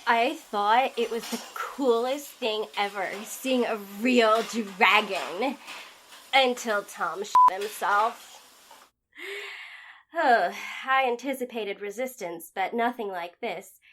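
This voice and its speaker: Tenacious woman chef played by an actress, fairly high voice, natural interview delivery, audio drama. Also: slow pace, fairly bright tone, a little hiss or hum, rough recording. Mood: candid, weary. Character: tenacious female house chef being interviewed.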